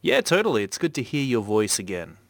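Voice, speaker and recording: A man hosting a podcast, talking at length in a relaxed, reflective way with many fillers. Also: mid-range voice, a little fast, gravelly, no echo. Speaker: podcast host. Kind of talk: casual podcast chat.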